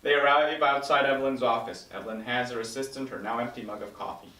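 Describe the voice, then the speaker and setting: A man's voice: medium pitch, quick pace, pushed and bright, far from the mic. Echoey male reader of stage directions. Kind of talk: scripted narration at a table read. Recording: echoey room, slightly off-mic.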